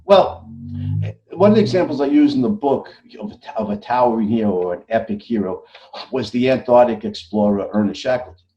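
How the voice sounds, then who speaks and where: American male podcast guest. Very mellow, dark tone, emphatic, halting repetitions, slightly lo-fi sound. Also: medium pitch, expressive. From United States. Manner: emphatic, stammering with repeated words. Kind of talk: conversational interview. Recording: dull lo-fi audio.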